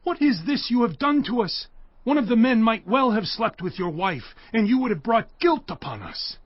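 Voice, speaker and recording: A man playing a forceful, indignant Philistine king in a dramatized scripture reading, speaking in an emphatic, declamatory way. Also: very high voice, big pitch swings, normal speed, no room sound, exaggerated voice. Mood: indignant accusing. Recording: dull studio recording under music.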